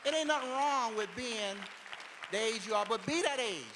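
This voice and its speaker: A man delivers a stand-up set in an easy, conversational storytelling way. His voice sits high for a man. A faint noise runs underneath. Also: moderate pace, a crowd in the background. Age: 40s. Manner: conversational storytelling, repeats "you know what I'm saying". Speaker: stand-up comedian. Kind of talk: stand-up comedy routine.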